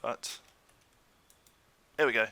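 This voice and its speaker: An Australian man giving casual, chatty let's play commentary in an easygoing way, thinking out loud as he goes. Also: takes it slowly. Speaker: gaming YouTuber.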